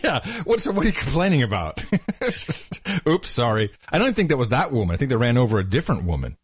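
A man co-hosting a podcast, talking in casual, opinionated banter, loud and incredulous, laughing often. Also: mid-range voice, very animated, fairly quick, husky, no room sound, studio quality. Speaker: podcast co-host.

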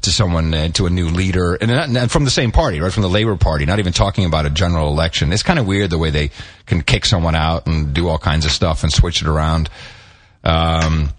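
Middle-aged male co-host, low voice, chatty and rambling, restarts a lot, animated asides. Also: quick pace. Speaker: podcast co-host. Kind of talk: casual chat.

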